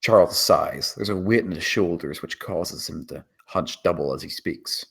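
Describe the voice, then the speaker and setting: Male narrator, very low pitch, rough husky tone, scripted screenplay narration. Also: normal speed.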